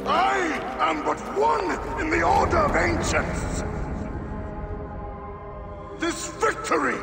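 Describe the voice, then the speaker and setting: High, gravelly, echoing defeated villain. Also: male voice, expressive, slow pace, loud music behind the voice, heavily acted. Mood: defiant, raging. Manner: grandiose, bitter declaiming. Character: defeated, proud male villain of a secret order. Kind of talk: dramatic video game acting.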